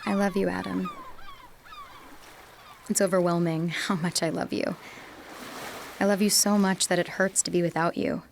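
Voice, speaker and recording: Woman playing an insecure lovesick student girl, gravelly fry, halting and pleading, some background noise, audio drama. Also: on the low side, fairly even intonation. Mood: aching love. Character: insecure young woman student, desperately in love, fearful.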